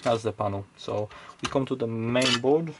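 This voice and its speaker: A man's voice: medium pitch, normal speed, background noise. A man giving casual, off-the-cuff technical commentary as he hosts an electronics teardown, hesitantly thinking aloud as he goes.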